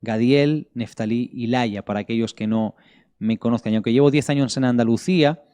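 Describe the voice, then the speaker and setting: A middle-aged man from Barcelona with a Spanish accent from Spain, preaching as a pastor to an online congregation in an earnest, measured way with pauses for emphasis. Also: mid-range voice, warm tone. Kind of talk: online sermon with prayer and scripture reading.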